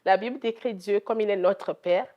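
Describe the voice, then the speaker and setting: A woman's voice: medium pitch, moderate pace, mellow, dull audio. A woman from Togo with a Togolese French accent, answering interview questions in an earnest, devout way as a gospel singer.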